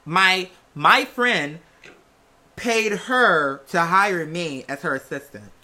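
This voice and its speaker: A podcast host chatting casually and sassily to listeners, with theatrical, drawn-out emphasis on key words. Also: mid-range voice, bright, forceful tone. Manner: sassy, chatty, addresses listeners as "girl" and "y'all". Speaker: show host and music artist. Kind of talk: casual conversational monologue to listeners.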